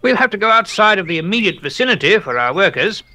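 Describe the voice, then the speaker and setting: An actor playing an excitable, erudite captain who relates dark local legends in a theatrical, halting way for an audio drama. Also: male voice, sits high, heavily acted. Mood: ominous, earnest. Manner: theatrical, halting, relishing grisly detail. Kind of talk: audio drama dialogue, storytelling.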